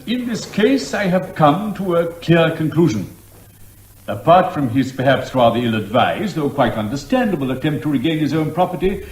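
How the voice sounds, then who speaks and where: An actor playing an impatient, scathing judge in a British comic radio drama, with an English accent and a formal, curt manner. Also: male voice, a little high, a little mellow. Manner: deliberate, formal, dry, curt. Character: brisk, impatient, dryly scathing male judge. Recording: roomy, echoing courtroom-like sound.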